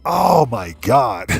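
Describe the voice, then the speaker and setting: A man's voice: mid-range voice, creaky, husky. A man hosting a casual gameplay livestream, commenting in exclamations and emphatically repeated short phrases. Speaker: livestream host.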